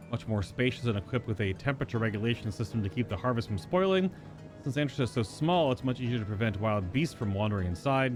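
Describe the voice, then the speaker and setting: A man voicing an earnest, contented farm worker from a fantasy game, reading the dialogue aloud in a polite, measured manner. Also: on the low side, close to an everyday voice. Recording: music behind the voice.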